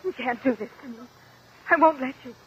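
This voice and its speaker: High-voiced young heiress, old radio. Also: female voice, brisk, breathy edge. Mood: defiant, upset. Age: 20s. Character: defiant, spoiled young heiress niece. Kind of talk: 1950 radio drama acting. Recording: muffled, noisy vintage 1950 radio recording.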